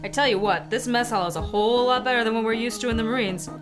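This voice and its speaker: A woman acting a brisk, dutiful Marine private in a comic radio play. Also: fairly high pitch, close to an everyday voice. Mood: easygoing. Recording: music under the dialogue.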